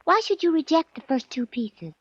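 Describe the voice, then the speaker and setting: An actress playing a naive, dim young secretary with a guileless, eager manner in 1940s radio comic style. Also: female voice, sits high, animated, normal speed. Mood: puzzled. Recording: narrow-band old radio recording.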